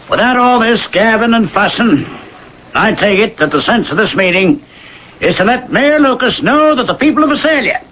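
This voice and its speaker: An actor playing a self-righteous small-town man in a strident, folksy, oratorical manner for a 1980s radio drama. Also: very high-pitched. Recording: dull lo-fi old radio recording.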